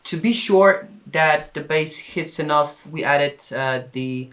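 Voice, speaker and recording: A man's voice: on the high side, slow pace, a bit bright. A man who produces music, explaining a track in a casual tutorial style. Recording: music playing behind the voice.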